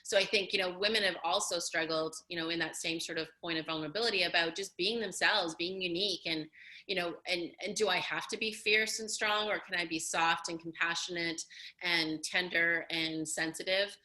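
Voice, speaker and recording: A chatty woman hosting an interview, effusive and full of "you know" and "like". Her voice is bright and forceful but pitched on the low side for a woman, and she stays loose and conversational throughout. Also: steady tone, normal speed. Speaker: host and coach.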